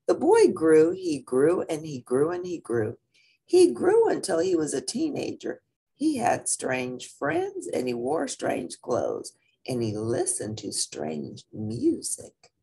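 A husky-voiced woman reading a children's picture book aloud in a dramatic, expressive way, drawing out repeated phrases. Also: on the low side, slow.

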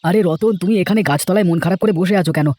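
Man acting a quick-talking sensible village elder brother. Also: high-pitched, warm tone, no room sound, close to an everyday voice. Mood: concerned, advising. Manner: admonishing, reasoning. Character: sensible, advising village man, peaceable and kindly. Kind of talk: children's cartoon voice acting.

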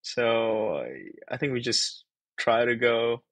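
A man's voice: mid-range voice, very animated, takes it slowly, fairly bright tone, no room sound. A young man from Indonesia, a PhD researcher talking casually on a video call, rambling and thinking aloud with many fillers and restarts. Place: small bedroom-like room.

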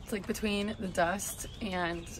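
A young woman with a hoarse, congested voice, talking softly into a phone held at arm's length in a hotel hallway. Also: fairly even intonation, measured pace, background noise. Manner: soft, low-key chat.

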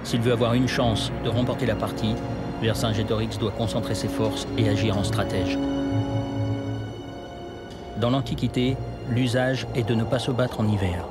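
A man narrating a historical documentary from a script, in a measured storytelling manner. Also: on the low side, steady tone. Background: music.

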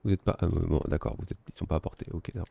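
A man giving relaxed, self-mocking commentary while playing a strategy game, thinking aloud. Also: deep voice, very fast, creaky, slightly rough, dry recording, lo-fi sound. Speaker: gaming video host. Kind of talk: casual let's-play commentary.

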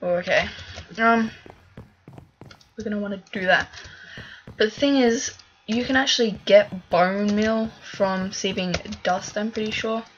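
Slightly hoarse woman narrating gameplay. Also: mid-range voice, a little slow, pauses often, dull audio. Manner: chatty, thinks aloud with fillers. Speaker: gaming video creator. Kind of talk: casual let's-play commentary.

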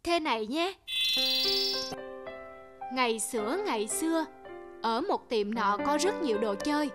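A woman voicing a patient, gently instructive mother in a cartoon. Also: high voice, expressive, measured pace, over music, pitched below the performer's own voice. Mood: storytelling. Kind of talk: cartoon dialogue and storytelling.

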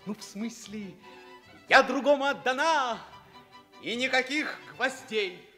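An excitable, teasing clown of a servant in a theatrical radio comedy. The voice is high and sharp and leaps about in pitch, with faint music behind. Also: male voice, slow pace, heavily acted. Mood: explaining. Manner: needling, exclamatory.

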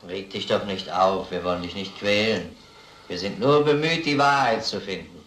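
Methodical cop grilling a suspect, lowish voice, radio crime play, echoey old sound. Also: male voice, fairly bright tone, slight background noise. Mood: insistent. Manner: measured, insistent questioning. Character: probing, methodical male police detective interrogating a suspect. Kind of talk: crime radio drama acting.

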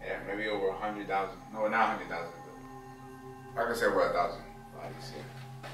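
Male urban-exploration vlogger, lowish voice, casual on-the-spot narration, roomy echo and clear noise. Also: fairly even intonation, fairly quick, music in the background. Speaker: ghost-hunting vlogger. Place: inside an empty abandoned hospital building. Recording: echoey rooms with clear noise.

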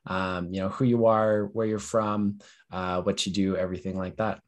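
Deep-voiced young male podcast host. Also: normal speed. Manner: relaxed, leans on "you know" and "um". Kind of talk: casual interview talk. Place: small room at home. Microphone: video call.

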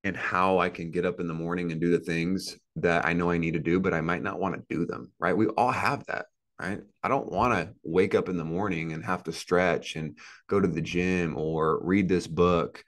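A man's voice: sits low, fairly even intonation, normal speed, fairly bright tone. A man in his thirties, a podcast guest on a video call, talking earnestly and motivationally with lots of repetition. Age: 30s. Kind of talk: casual interview talk. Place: indoor room with a plant and a couch.

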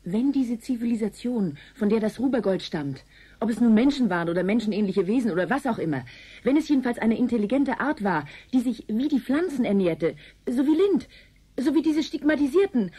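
A grave woman scientist in a radio play, her fairly high voice softened and a little husky. She presses her warnings with brooding urgency and insistence. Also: animated, fairly quick. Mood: urgent insistence. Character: grave, alarmed woman researcher warning of vengeful plants. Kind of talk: 1980s German radio drama acting.

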